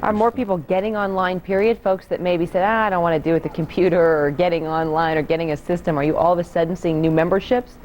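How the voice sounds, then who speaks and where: A woman's voice: lowish voice, steady tone, quick pace, hardly any pauses, darkish tone. A woman with an American accent working as a news anchor, conducting a broadcast interview. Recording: TV broadcast with clear background noise.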